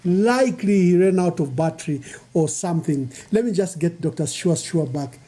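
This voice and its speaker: High-pitched, mellow male talk show host. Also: expressive, moderate pace. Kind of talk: live talk show hosting.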